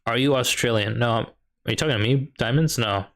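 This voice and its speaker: A young man from the US, a high school math teacher, chatting casually while playing a strategy game and answering viewers' questions. He thinks aloud with loose fillers and a light Chicago lilt. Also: a little fast, fairly bright tone. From United States. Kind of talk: casual live-stream chat while gaming.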